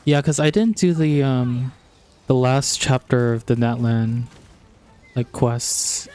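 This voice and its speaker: A man's voice: medium pitch, slow pace, a little mellow, clear, resonant tone, faint music behind. A man streaming a video game and chatting casually as he plays. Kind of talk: casual chat while playing a game.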